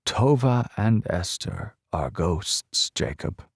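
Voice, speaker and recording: A man voicing an elderly Polish-born grandmother, explaining slowly and softly to a child in an audiobook. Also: low voice, expressive, gravelly, husky, no echo, clean studio sound, exaggerated voice. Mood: gentle. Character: elderly woman, ghost-seeing Polish-born grandmother, gentle.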